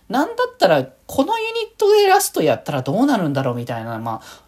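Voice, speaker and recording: A woman with a husky voice chatting casually on her own as a podcast host, rambling with excited fan enthusiasm. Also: mid-range voice. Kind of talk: casual solo fan chat.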